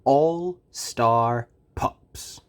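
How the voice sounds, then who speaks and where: A storyteller reading a children's book aloud in an expressive, dramatic way with deliberate emphasis. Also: mid-range voice. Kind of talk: animated scripted children's story narration.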